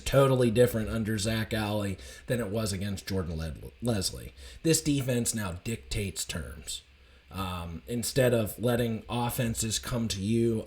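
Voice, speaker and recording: A man with a slightly raspy, hoarse voice, giving an enthusiastic, rambling casual recap of a college football game. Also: mid-range voice. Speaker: college football fan commentator.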